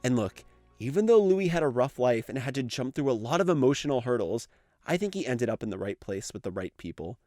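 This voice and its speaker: Young man, gushing, very animated voice. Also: mid-range voice, a little fast, no room sound, studio quality. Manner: gushing, casual, profane. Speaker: video essayist. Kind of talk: enthusiastic fan commentary.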